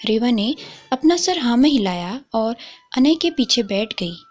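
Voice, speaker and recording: A woman's voice: on the high side, sing-song, a little slow. A woman storyteller reading a scripted romantic story. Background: faint music.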